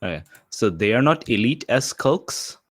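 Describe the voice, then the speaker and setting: A man's voice: mid-range voice, big pitch swings, normal speed, fairly bright tone, dry recording, studio quality. A man chatting casually as a player in a tabletop game.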